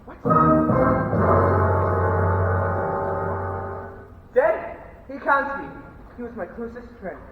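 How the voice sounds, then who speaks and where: A young man playing a blustering upper-class gentleman in a melodramatic murder mystery farce, declaiming his lines. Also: very high voice, sing-song, normal speed, over music. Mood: shocked. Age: teen or young adult. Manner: declamatory, exclaiming. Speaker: student actor. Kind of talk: melodramatic stage farce acting. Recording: echoey noisy hall, distant muffled mic.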